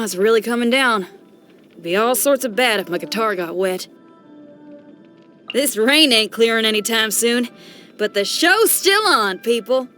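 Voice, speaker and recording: Young female rock musician, high-pitched, brash, friendly, rebellious, folksy slang, enthusiastic showmanship, video game character, music behind. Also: a bit bright. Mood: upbeat.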